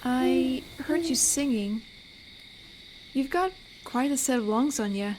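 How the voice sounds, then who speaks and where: A woman's voice: sits high, lively intonation, darkish tone, a little hiss or hum, rough recording. A woman playing an amiable, teasing werewolf who protects a forest, speaking in an intimate, conversational roleplay drama. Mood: friendly, apologetic. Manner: unhurried, teasing, conversational with pauses. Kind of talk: roleplay audio drama, intimate one-to-one address.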